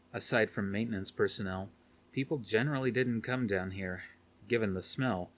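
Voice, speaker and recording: Low-voiced man narrating a thriller. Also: fairly bright tone. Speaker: audiobook reader. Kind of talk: scripted narration of a thriller novel.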